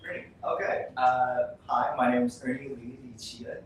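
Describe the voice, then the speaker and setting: A young man from Taiwan, a policy researcher, speaking on a panel in a measured, slightly formal way. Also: medium pitch, little pitch movement, a little hiss or hum. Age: young adult. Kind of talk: casual panel conversation. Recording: echoey room, distant mic.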